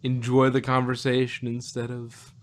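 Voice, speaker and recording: A man's voice: medium pitch, monotone, moderate pace. A man co-hosting a casual review podcast, rambling and often rephrasing as he goes. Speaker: podcast co-host reviewing audio drama. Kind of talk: casual chat.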